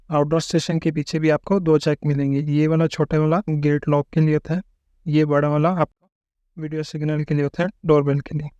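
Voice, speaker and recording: A man's voice: fairly high pitch, dark tone, clear, resonant tone, no room sound. A man narrating an unboxing and setup tutorial, explaining each part step by step and often checking in with the viewer. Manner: explains step by step, keeps repeating "ठीक है". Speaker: tech reviewer.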